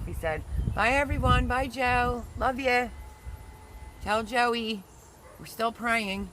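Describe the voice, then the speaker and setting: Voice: mid-range voice, fairly bright tone, slight background noise, low-quality recording. A gardening livestream host chatting casually and teasing viewers while reading their comments aloud.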